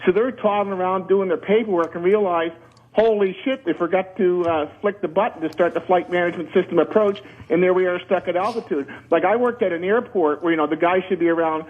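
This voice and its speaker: A high-voiced man with a rough, husky edge, calling a radio show over a slightly dull line. He explains technical aviation matters plainly, sprinkling in jokes and anecdotes. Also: fairly quick. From Canada. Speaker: radio show caller, former air traffic controller. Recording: muffled band-limited radio phone-in call.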